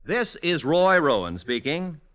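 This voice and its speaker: A man's voice: fairly high pitch, big pitch swings, a little slow, a bit bright. A man reading scripted announcements in a 1950s network radio announcer style. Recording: vintage radio broadcast. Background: music.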